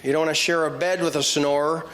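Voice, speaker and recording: An American man doing a stand-up routine in an animated, exasperated manner to a live audience. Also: on the high side, fast. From Nebraska, United States. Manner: animated, exasperated observational delivery. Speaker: stand-up comedian. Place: live stage before an audience. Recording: noisy live crowd, echoey hall.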